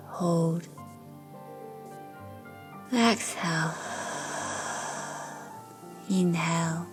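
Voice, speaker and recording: A woman narrating a guided meditation in a soft, breathy, soothing way, as a meditation guide. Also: lowish voice, extremely slow. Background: soft background music.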